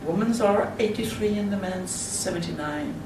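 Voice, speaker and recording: A Swedish woman with a Swedish accent, speaking halting non-native English in a slide presentation at a conference. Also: mid-range voice. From Sweden. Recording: roomy, distant mic with faint hiss.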